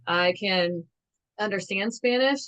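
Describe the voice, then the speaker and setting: A woman's voice: mid-range voice, takes it slowly, fairly bright tone. A woman from the American Midwest, a podcast guest, chatting about her background with many fillers. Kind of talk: casual interview storytelling.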